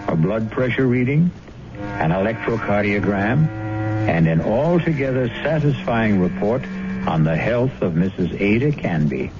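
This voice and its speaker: A man narrating a radio drama in a measured storytelling manner. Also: fairly low pitch, lively intonation, noisy background. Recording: dull old radio broadcast.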